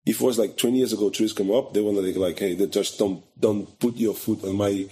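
A middle-aged man from Brazil with a Brazilian Portuguese accent and Portuguese as his first language, telling stories animatedly as an interview guest in halting second-language English and acting out the voices he quotes. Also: lowish voice, fairly even intonation, mellow, breathy edge. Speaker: guest, photographer. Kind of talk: casual conversational interview.

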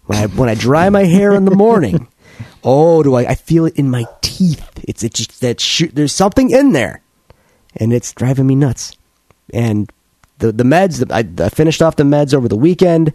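A man co-hosting a podcast, still congested from a cold, rambling through animated stories with many restarts. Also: mid-range voice, no room sound. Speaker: podcast co-host. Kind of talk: casual chat.

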